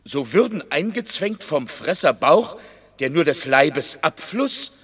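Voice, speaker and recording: Sharp, defiant Roman rebel commoner. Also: male voice, on the high side, lively intonation, takes it slowly, slightly rough, full character voice. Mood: challenging. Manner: blunt, challenging, sardonic. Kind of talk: classical German stage-style radio drama acting. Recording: long reverberant hall.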